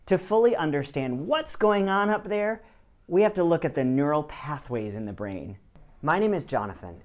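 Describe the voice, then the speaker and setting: A man presenting a scripted educational video in the first person, with an expressive, explanatory delivery. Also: mid-range voice. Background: background music.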